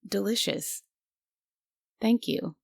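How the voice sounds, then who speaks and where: A woman's voice: mid-range voice, slow pace, gravelly, dry recording, clean studio sound, exaggerated voice. A woman playing a strict, stubborn guardian angel who is bossy, prim and grudgingly caring, in an immersive roleplay audio drama. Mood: shyly pleased. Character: strict, stubborn but secretly kind female guardian angel.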